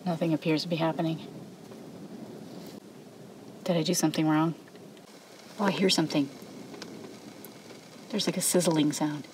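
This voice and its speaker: A woman's voice: sits low, fairly even intonation, moderate pace, pauses often, rough recording. A middle-aged woman cooking in a camper van, chatting and muttering to herself as she works on camera. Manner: chatty, thinks aloud, mutters to herself while working. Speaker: camping vlogger. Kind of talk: casual hands-on demo. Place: inside a parked camper van. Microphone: camera mounted inside the van. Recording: loud background noise in a van.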